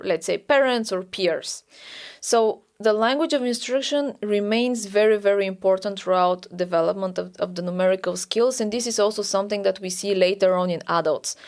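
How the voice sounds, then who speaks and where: Woman explaining research. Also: mid-range voice. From Bulgaria. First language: Bulgarian. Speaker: academic researcher, podcast guest. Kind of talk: conversational expert explanation.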